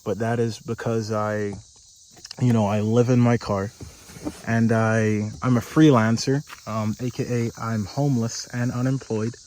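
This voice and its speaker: A man giving a casual tour of the car he lives in, speaking in a flat, deadpan monotone with dry humor. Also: mid-range voice, poor audio. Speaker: vlogger living in his car. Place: inside and around a parked car outdoors.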